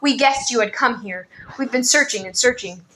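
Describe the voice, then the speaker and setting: A woman voicing a caring but firm old man who speaks slowly and commands in a storybook reading. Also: fairly high pitch, sharp tone, slight background noise, rough recording. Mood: tender.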